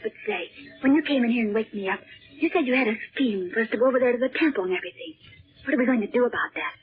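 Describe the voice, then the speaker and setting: An actress playing an earnest, excitable young American girl in a 1930s radio serial, breathy and melodramatic. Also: fairly high pitch, rapid-fire, faint music behind, natural voice. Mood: anxious. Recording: muffled, tinny 1930s radio recording.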